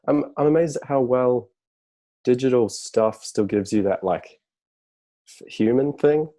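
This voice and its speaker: Mellow-voiced man chatting casually. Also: medium pitch, a little slow. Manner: relaxed, rambling with fillers. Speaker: podcast guest, designer. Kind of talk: casual chat. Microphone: video call.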